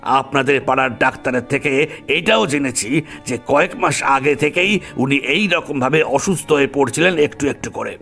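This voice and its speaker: A man playing a matter-of-fact investigator in a Bengali audio drama, with a brisk delivery. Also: medium pitch, creaky, fairly bright tone, soft background music.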